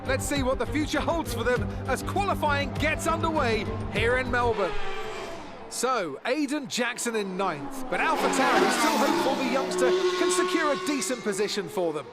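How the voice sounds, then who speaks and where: A male racing broadcaster in a video game cutscene, very high and lively in pitch. He presents the session like live TV commentary, with loud music running under him. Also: normal speed. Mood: upbeat, building. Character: excited motorsport TV commentator introducing a qualifying session. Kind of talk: race commentary.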